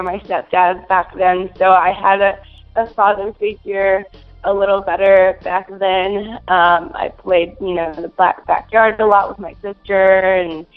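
A woman's voice: medium pitch, phone-quality sound. A twenty-year-old woman from Minnesota, answering interview questions in a hesitant way with many fillers and giggles. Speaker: interview guest.

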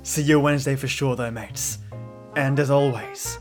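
A man's voice: medium pitch, fairly even intonation, moderate pace. A man hosting a podcast, speaking in an effusive, affectionate way to his listeners in a scripted introduction. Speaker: podcast host. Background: music behind the voice.

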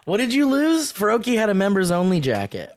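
Lively, joking man co-hosting a livestream. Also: high-pitched, brisk. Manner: joking, laughs often. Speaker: podcast co-host. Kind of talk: casual livestream chat. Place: home in Los Angeles valley.

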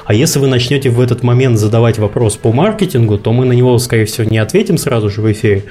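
A man co-hosting a live podcast, laying out contest rules in a measured, explanatory way with light jokes. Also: medium pitch, animated, mellow. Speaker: podcast co-host.

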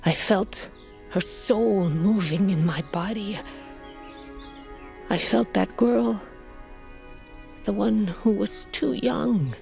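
An actress playing a proud, hardened older queen and mother of a warrior tribe, speaking gravely and with command in an audio drama. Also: mid-range voice, slow pace, pauses often, warm tone, soft background music. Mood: grim, boastful. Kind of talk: audio fiction drama acting.

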